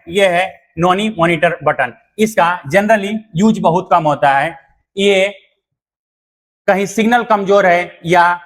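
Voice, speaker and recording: A man's voice: sits high, fairly bright tone. A man explaining things step by step as an instructor, repeating points plainly. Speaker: instructor and channel host. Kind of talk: explanatory tutorial addressed to viewers.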